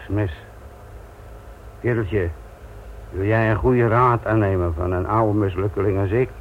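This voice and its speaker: An actor playing a sardonic, gruff older police instructor in a Dutch radio play, patronising and deliberate in manner. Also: male voice, fairly low pitch, fairly even intonation, slight background noise, lightly acted. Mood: patronising, stern. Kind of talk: Dutch radio drama acting. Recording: thin, narrow-band old radio recording.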